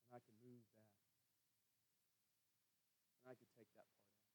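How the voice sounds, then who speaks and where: A man preaching a sermon as storytelling and scripture reading, using emphatic pauses and repetition. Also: fairly low pitch, level tone, a little mellow, slight background noise, poor audio.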